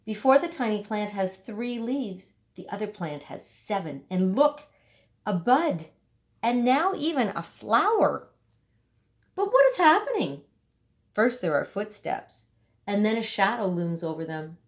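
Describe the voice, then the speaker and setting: A woman reading a children's picture book aloud, with dramatic emphasis and deliberate pauses for young listeners. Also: mid-range voice, big pitch swings. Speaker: storybook reader.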